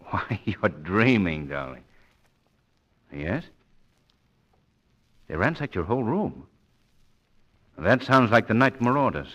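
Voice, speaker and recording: Bright, urbane young radio sleuth. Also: male voice, on the low side, heavily acted. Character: suave, confident young gentleman amateur sleuth. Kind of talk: old-time radio drama acting. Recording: dull lo-fi old radio recording.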